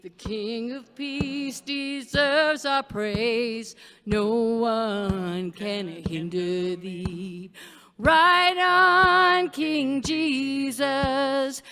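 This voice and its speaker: A woman's voice: high-pitched, drawn-out words, pushed and bright, soft background music. A woman leading a spiritual in song on a church stage. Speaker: song leader. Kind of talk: spiritual singing with acoustic guitar. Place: church hall stage before seated audience. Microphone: stand microphone. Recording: live reverberant hall.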